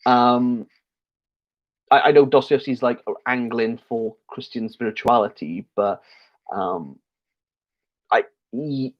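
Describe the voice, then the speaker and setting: A man's voice: medium pitch, takes it slowly, pauses often. A man hosting a casual livestream Q&A about books, chatty and rambling with lots of fillers and restarts, a little tipsy. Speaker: book channel host. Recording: slightly dull lo-fi stream audio.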